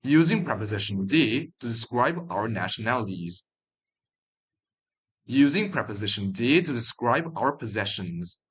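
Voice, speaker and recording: Slow, husky man teaching a language lesson. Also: mid-range voice, expressive, a bit bright. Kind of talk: slow repeat-after-me lesson narration.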